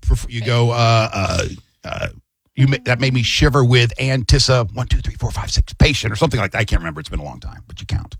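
A man in his forties co-hosting a radio show, telling stories in a lively way and acting out his own thoughts. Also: medium pitch, fast talker. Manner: animated storyteller, mimics own thoughts. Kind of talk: casual radio studio chat.

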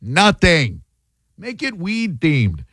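A man's voice: a little high, huge pitch swings, fairly bright tone, dry recording, clean studio sound. A male radio host taking calls and ranting bluntly, often mocking his callers. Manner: blunt, mocking, quick to rant. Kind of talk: call-in radio banter.

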